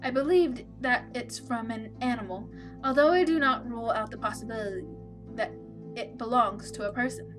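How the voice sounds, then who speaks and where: A woman reading out the part of an anxious, lost young protagonist in a horror visual novel, measured and subdued. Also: a little high, music in the background, close to an everyday voice. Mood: uneasy, subdued. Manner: measured, subdued, stumbles over some words.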